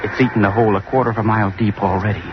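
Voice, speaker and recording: A man's voice: on the low side, steady tone, racing, natural voice. A man acting a grim, insistent scientist in an old-time radio drama, lecturing with ominous emphasis. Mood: urgent warning. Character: grim, insistent male scientist warning of doom. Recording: muffled, noisy old radio recording.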